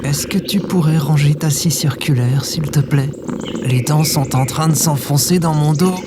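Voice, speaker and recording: A man's voice: on the high side, moderate pace, very noisy, lightly acted. A man playing an awkward, kind cat owner with a hesitant, informal delivery in an audio drama. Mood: friendly, persuasive. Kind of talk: scripted audio fiction acting, casual.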